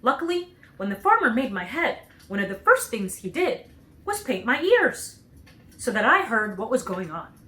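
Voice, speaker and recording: A woman's voice: a little high, big pitch swings, moderate pace, sharp tone. A woman voicing a cheerful, naive scarecrow in a storybook, speaking earnestly and with emphasis.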